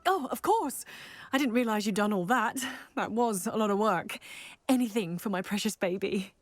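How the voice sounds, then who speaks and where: An actress playing a doting, fussy pet owner in a video game, gushing and cooing gratefully. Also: female voice, mid-range voice, expressive, moderate pace, dry recording, lightly acted. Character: doting, grateful, fussy woman who adores her pet. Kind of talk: video game cutscene acting.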